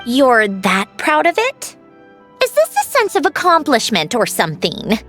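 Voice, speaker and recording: A woman playing an aloof, proud young woman in an anime-style video game, with a brisk, cool, skeptical manner. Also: fairly high pitch, huge pitch swings, fairly bright tone, faint music behind, full character voice. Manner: clipped, cool, dismissive.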